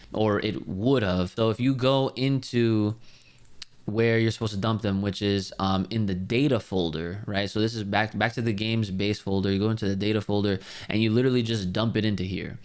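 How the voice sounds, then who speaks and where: Clear-voiced man giving a casual tutorial. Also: on the low side, steady tone, normal speed. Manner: conversational, explanatory, talks directly to viewers. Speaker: tutorial host. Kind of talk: casual software tutorial talk. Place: voice-over on a screen recording of a game.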